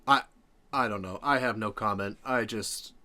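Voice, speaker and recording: A man's voice: on the low side, moderate pace, heavy background noise. A man, perhaps in his thirties, chatting casually to viewers as a playful, self-mocking reaction host with nervous jokiness.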